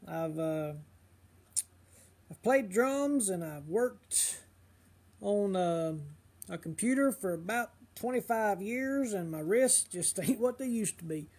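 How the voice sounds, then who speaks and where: A middle-aged man, slightly hoarse, chatting casually on a fishing livestream and giving advice with lots of uh and um. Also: high voice, animated, slow, a little mellow. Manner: rambling, full of uh and um fillers.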